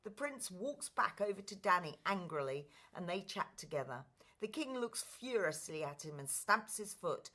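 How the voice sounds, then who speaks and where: A woman's voice: on the low side, big pitch swings, moderate pace, bright, forceful tone. A woman reading scripted stage directions as the narrator of a radio play.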